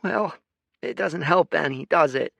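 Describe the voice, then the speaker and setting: A man voicing a young orphaned boy in an audiobook, soft and trembling. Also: a little high, unhurried, gravelly, no room sound, muffled recording, pitched above the performer's own voice, exaggerated voice. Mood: wistful. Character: orphaned desert boy, mournful, strange.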